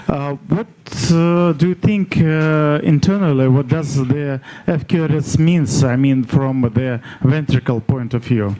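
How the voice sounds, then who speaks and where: A man's voice: fairly high pitch, warm tone, rough recording. A man questioning a speaker at a scientific conference in hesitant, fragmented, probing phrases. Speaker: conference questioner commenting on the talk. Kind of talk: informal academic questioning.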